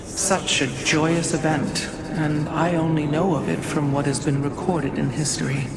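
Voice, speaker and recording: A woman voicing a solemn, learned alien keeper of history in a game cutscene, measured, formal and contemplative. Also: low voice, level tone, over a noisy crowd, low-quality recording. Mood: wistful, uncertain. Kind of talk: dramatic scripted game cutscene acting.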